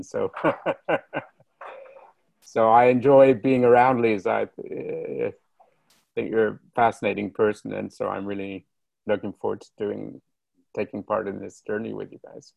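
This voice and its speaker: A man's voice: lowish voice, flat delivery, measured pace, gravelly, a little mellow, dry recording, rough recording. A man on a group video call, friendly and stumbling over his words. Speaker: participant.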